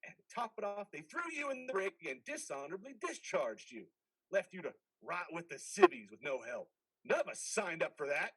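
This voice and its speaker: A man performs a ghostly army commander in a play reading. The voice is fairly high for a man and very animated, giving orders with stern, manipulative authority in a dry recording. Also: a little fast, husky, no echo, exaggerated voice, rough recording. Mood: bitter, domineering. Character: commanding ghost of a dead male army officer.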